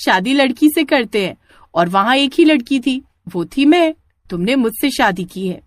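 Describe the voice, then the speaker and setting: A woman's voice: high voice, lively intonation, normal speed, dry recording. A woman voicing a jealous, possessive young female ghost bride in a cartoon horror story, insistent and confrontational.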